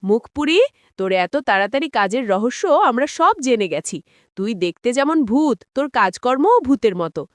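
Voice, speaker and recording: A woman voicing a cruel, bossy village mother in a cartoon, sharp-tongued and scolding. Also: a little high, lively intonation, moderate pace, no room sound, studio quality, lightly acted. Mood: scolding, threatening. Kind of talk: cartoon voice acting.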